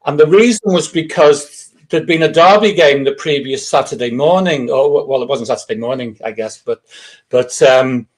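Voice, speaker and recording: An older man from Liverpool, England, co-hosting a podcast and reminiscing in a measured, slightly hesitant way. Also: medium pitch, low-quality recording. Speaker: podcast co-host, coach. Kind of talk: casual reminiscing chat.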